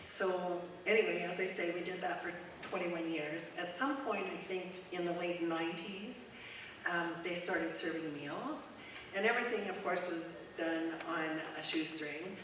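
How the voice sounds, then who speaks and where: Loud-voiced woman in echoey church. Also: lowish voice, measured pace. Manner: warm, conversational, with frequent ums. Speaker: guest speaker, charity executive director. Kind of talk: informal talk to a church congregation. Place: church hall. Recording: echoey church hall, mic at a distance, noisy.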